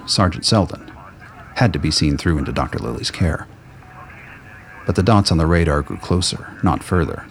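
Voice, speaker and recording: A man delivering scripted, dramatic narration for an audio play. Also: very deep voice. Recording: noise, ambient sound effects behind.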